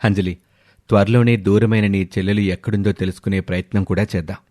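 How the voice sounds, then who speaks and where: A man voicing a supportive young husband in a story, gentle and reassuring. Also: on the low side, steady tone, quick pace, voice pushed down, lightly acted.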